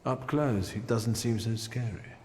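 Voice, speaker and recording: A man acting a proud, cool-headed thaumaturge in a story video game, speaking in a measured, self-assured way. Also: mid-range voice, a little mellow, in a large hall, a little hiss or hum, natural voice. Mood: composed, scheming. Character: proud, defiant male thaumaturge, cool and scheming.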